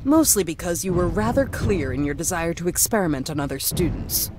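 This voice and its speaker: A woman's voice: on the low side, expressive, a little fast, over music, close to an everyday voice. A woman playing a stern, commanding paladin with a curt, firm manner in a visual novel. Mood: exasperated. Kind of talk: visual novel character acting.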